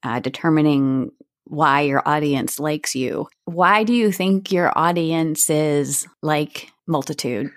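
A woman's voice: low-pitched, slow, no room sound, clean studio sound. A woman hosting a podcast interview, conversational and reflective, thinking ideas through aloud.

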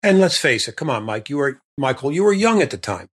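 A man's voice: fairly high pitch, big pitch swings, fairly quick, slightly rough. A man hosting an interview, asking long, rambling questions packed with "you know" and "uh". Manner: rambling, long leading questions full of fillers. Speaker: interviewer host. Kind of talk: conversational interview.

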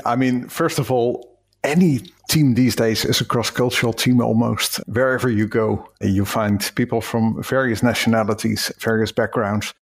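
A Dutch man with a Dutch accent, a cross-cultural consultant explaining ideas thoughtfully in a podcast interview. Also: medium pitch. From Netherlands.

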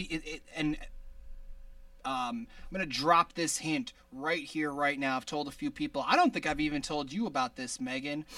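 A man with a high-pitched voice hosting a casual podcast, rambling with many restarts and laughs. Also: fairly bright tone, no room sound, distant mic. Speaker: podcast host.

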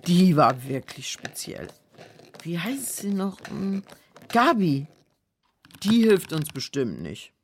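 Fretful low-voiced housekeeper, noisy recording. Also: female voice, sing-song, slow pace, long pauses. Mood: puzzled, earnest. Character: worried, pious housekeeper woman, easily flustered. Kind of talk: children's audio drama acting.

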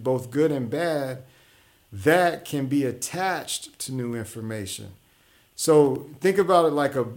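A man's voice: medium pitch, a little mellow. A man hosting his own show, talking through ideas in a casual monologue with frequent restarts and fillers. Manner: conversational, explanatory, many restarts and fillers. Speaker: show host.

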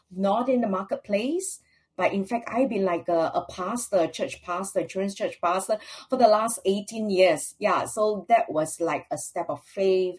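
A woman's voice: mid-range voice, husky. A woman guest on a podcast, a former children's pastor, answering in a friendly, hesitant way with frequent "like.". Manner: warm, hesitant, says "like" often. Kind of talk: casual interview answers.

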